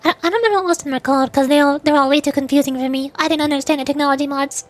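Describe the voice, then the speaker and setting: Very high female voice, casual livestream chat, hesitant, self-correcting. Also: fairly even intonation, very fast.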